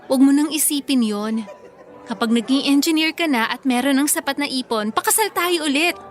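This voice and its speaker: A woman's voice: high-pitched, fairly quick, natural voice. A woman playing an affectionate, anxious young pregnant bride with a Filipino accent in a radio drama. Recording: music bed behind the voice.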